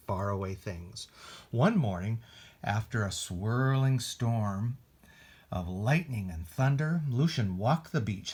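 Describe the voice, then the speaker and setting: A man with an American accent reading aloud at a children's storytime, expressive and deliberate, with casual asides in simple words. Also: on the low side, husky. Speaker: library storytime reader.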